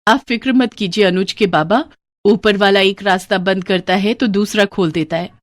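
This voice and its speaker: A woman's voice: medium pitch, normal speed, dry recording, studio quality, natural voice. A woman voicing a humble, hopeful young maid who speaks respectfully. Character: hardworking cheerful young maid.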